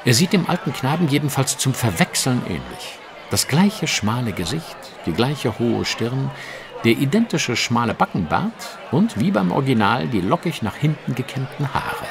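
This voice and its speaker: An actor playing an astute, self-assured gentleman detective in an audio drama, precise and dryly teasing. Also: mid-range voice, sing-song, normal speed, gravelly, over music. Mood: dry, knowing. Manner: precise, explanatory, dry. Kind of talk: German audio drama dialogue.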